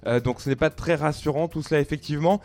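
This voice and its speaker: A man's voice: a little high, quick pace, creaky, a little mellow, faint music behind. A man hosting a radio interview, asking questions with many filler sounds and hesitations. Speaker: radio host.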